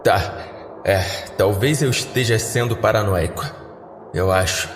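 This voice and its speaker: A man's voice: lowish voice, unhurried, noisy background, lightly acted. A man playing a wary, irritable young driver with a Brazilian accent in a horror audio drama, tense and slangy. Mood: uneasy. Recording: music under the dialogue.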